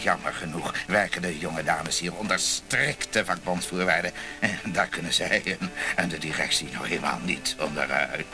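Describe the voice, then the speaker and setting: Fawning, animated motel manager. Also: male voice, low voice. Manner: fawning, hesitant, salesman-like patter. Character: obsequious, slick male motel manager. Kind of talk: comic radio play acting.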